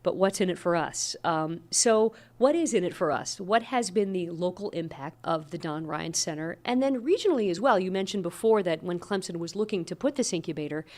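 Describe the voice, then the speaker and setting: A woman interviewing on radio, asking measured, conversational questions on behalf of listeners. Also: on the low side, lively intonation, a little mellow.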